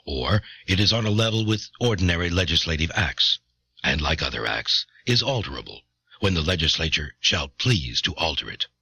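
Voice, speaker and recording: A man voicing a grave, authoritative early American chief justice, husky and stately, in a declamatory audiobook reading. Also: sits low, bright, forceful tone, highs cut off, full character voice. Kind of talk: dramatic reading of a historic court opinion.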